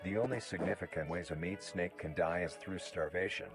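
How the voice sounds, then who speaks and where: A man reading scripted nature documentary narration in a formal, measured manner. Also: low-pitched, over loud music.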